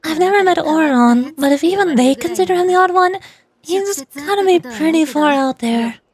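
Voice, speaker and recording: A woman's voice: very high voice, expressive, moderate pace. A woman voicing an eager, friendly companion in a fantasy game, reading the dialogue expressively. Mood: curious. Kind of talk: game dialogue read aloud.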